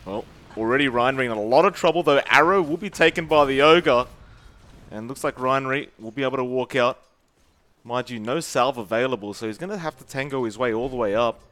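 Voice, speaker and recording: A man with an Australian accent, casting a competitive game match with brisk play-by-play and playful teasing of his co-caster. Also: mid-range voice, a bit bright. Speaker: esports caster.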